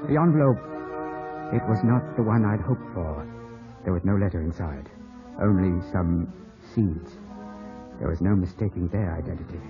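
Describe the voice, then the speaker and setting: Brooding young gentleman, old radio drama. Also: deep voice, animated, moderate pace, pauses often. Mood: haunted narration. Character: troubled, suspicious, lovesick young gentleman narrating his story. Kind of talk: mid-century radio acting, dramatic dialogue and narration. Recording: dull old radio broadcast, music behind.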